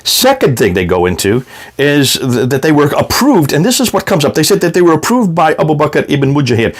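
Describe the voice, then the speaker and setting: A man's voice: fairly high pitch, sing-song, a little fast. A man hosting a commentary video and making an argumentative rebuttal, emphatic and combative, with frequent restarts. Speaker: apologetics video host.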